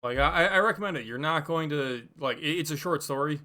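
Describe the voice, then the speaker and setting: A man giving a casual, unscripted book review, thinking aloud in a halting, rambling way and offering hedged opinions. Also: on the high side, sharp tone.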